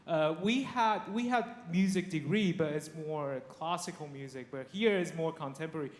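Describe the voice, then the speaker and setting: Man, fairly high voice, chatty testimony, echoey church hall. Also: normal speed. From Taiwan. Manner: chatty, laughs often, many restarts and fillers. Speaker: guest speaker. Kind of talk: personal testimony to a congregation. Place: church sanctuary. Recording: echoey hall with faint noise.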